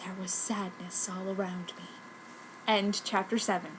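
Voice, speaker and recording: A woman's voice: medium pitch, flat delivery, a little slow, background noise. A woman giving a theatrical amateur reading of fan fiction. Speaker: amateur fanfiction reader.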